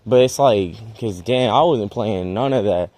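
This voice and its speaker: A man's voice: on the low side, unhurried, a little mellow. A man, rambling restlessly in a vlog while out in a residential neighborhood, often trailing off. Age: 20s. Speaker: vlogger.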